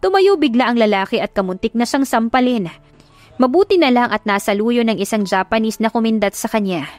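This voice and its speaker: A female audiobook narrator tells a scripted romantic drama. Her voice is on the high side, captured dry, with light music underneath. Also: animated, brisk, no echo.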